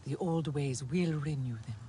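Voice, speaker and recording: A man playing a calm, wise alien healer in a video game, gentle and solemn. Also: a little high, normal speed, a little hiss or hum, close to an everyday voice. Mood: serene, instructive. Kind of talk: video game cutscene acting.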